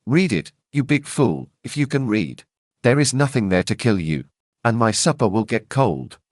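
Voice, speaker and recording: A gruff, impatient messenger of the king, hungry and pushy, as a storyteller puts him on. His voice swings up and down with lively pitch. It is recorded close and dry. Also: normal speed, no echo. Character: hungry blustering male king's messenger, middle-aged.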